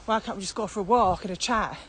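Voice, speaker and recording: Woman, British accent, podcast co-host, mid pitch, conversational, questioning, noisy walking recording. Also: fast, fairly bright tone. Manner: questioning, acts out quotes. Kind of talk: casual walking podcast chat.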